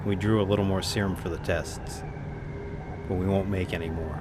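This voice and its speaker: Radio drama: male actor as matter-of-fact scientist, lowish pitch, dry and unflustered, clear rumble in the background. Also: steady tone, moderate pace, close to an everyday voice. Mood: grimly dry. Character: calm, logical, grimly matter-of-fact male polar researcher.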